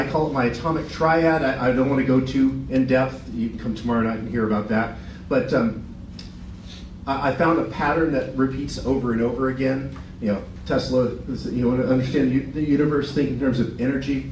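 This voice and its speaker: A middle-aged man giving a short business pitch in a meeting room, chatty and self-deprecating, with many restarts. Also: darkish tone, a little hiss or hum. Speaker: business presenter. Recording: echoey room, far from the mic.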